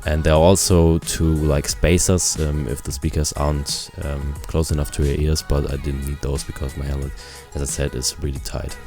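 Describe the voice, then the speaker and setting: A man giving a casual product review, explaining things in simple, halting phrases. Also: extremely low pitch, level tone, music in the background.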